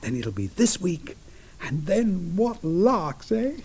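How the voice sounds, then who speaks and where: An actor playing a mild, affectionate Edwardian gentleman bridegroom in a period radio drama, diffident and whimsical as he woos. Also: high-pitched, sing-song, slow pace, slightly rough, full character voice. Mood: amorous.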